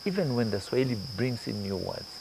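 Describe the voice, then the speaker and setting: A man's voice: mid-range voice, sing-song, a little fast, creaky, background noise. Kenyan man explaining ideas thoughtfully. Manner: thoughtful, explains with examples and asides. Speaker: guest journalist. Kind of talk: conversational interview.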